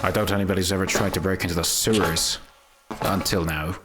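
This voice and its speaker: A man's voice: deep voice, fairly even intonation, a little fast, over music, natural voice. A man playing a grumbling, sardonic thief in a fantasy audio drama. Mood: dry.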